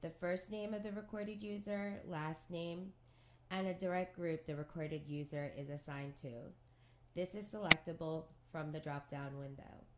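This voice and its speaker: A woman reading scripted instructions for a software tutorial in a measured, neutral, step-by-step way. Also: fairly low pitch, steady tone, noisy background.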